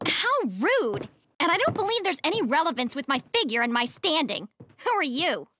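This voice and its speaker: A woman's voice: high-pitched, sing-song, moderate pace, sharp tone, heavily acted. An actress playing an anxious, easily indignant young woman in a video game, girlish and emotional. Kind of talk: video game cutscene acting.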